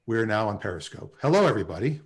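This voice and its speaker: A man's voice: fairly low pitch, sing-song, racing. A man in his forties casually hosting and introducing people on a video call livestream. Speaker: host and writer.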